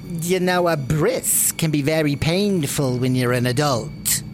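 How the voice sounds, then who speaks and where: A woman playing a scheming, cheerfully menacing synagogue elder in a comic audio drama, coaxing with mock-gentle menace. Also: low-pitched, measured pace, music in the background. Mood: calmly threatening.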